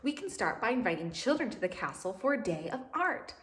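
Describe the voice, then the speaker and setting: A woman's voice: on the high side, sing-song, fairly quick, reverberant. A woman voicing a kind, earnest young princess in a children's storybook, speaking expressively. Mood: eager.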